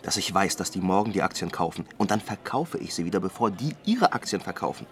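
An actor playing a skeptical, money-minded buddy in a radio drama, talking in a colloquial, teasing way. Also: male voice, low voice, lively intonation, fast, a bit bright. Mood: gleeful. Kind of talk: radio drama, casual conversation.

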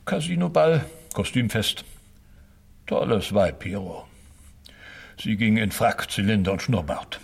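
Blunt military gentleman, creaky husky voice, animated, clipped, worldly, bitterly candid, radio mystery. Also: lowish voice, measured pace, pauses often, full character voice. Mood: wry reminiscing. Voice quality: gravelly, husky. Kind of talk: radio play acting.

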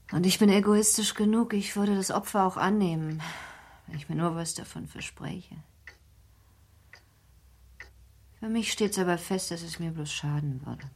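A woman acting a frail, wistful young wife in a radio play, soft and often slipping into a whisper. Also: lowish voice, gravelly, breathy edge. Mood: grateful, resigned.

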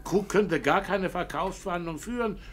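An actor playing an indignant, self-important business partner in a German radio drama. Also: male voice, sits high, moderate pace, faint music behind, close to an everyday voice.

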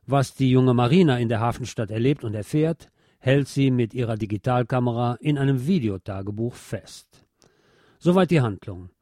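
A man's voice: medium pitch, normal speed, dark tone, dry recording, clean studio sound. A man presenting a film review as a show host, partly scripted.

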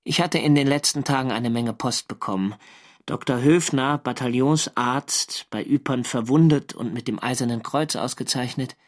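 An actor playing a wartime sailor narrating his diary in a measured, dryly wry way for a radio drama. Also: mid-range voice, lightly acted. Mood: reflective.

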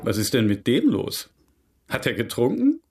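An actor playing a courteous, inquisitive gentleman suitor in a German radio drama, formal and gently probing. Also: mid-range voice, huge pitch swings, normal speed, background noise. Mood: wry concern.

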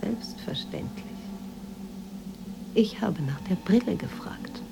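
A woman's voice: medium pitch, animated, takes it slowly, gravelly, whispered. An actress in a radio drama playing a weary, imperious woman writer who speaks in a curt, brooding way. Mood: irritated, commanding. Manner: curt, haughty, introspective. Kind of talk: 1980s German radio drama acting.

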